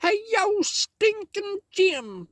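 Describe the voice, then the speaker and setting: Man doing a squeaky polite turtle, swoopy and earnest. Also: very animated, extremely slow, no room sound, clean studio sound, full character voice.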